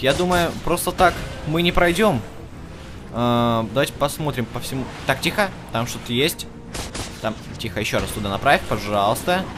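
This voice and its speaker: A man with a mid-pitched voice gives casual let's play commentary as a gaming video host. He thinks aloud and addresses the game characters directly, with music clearly playing behind him.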